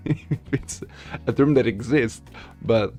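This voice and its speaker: A man's voice: medium pitch, takes it slowly, darkish tone, faint music behind. A man giving casual, conversational commentary as a video creator, talking informally to his viewers. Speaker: video creator and amateur voice actor. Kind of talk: casual commentary on a game voice-acting challenge.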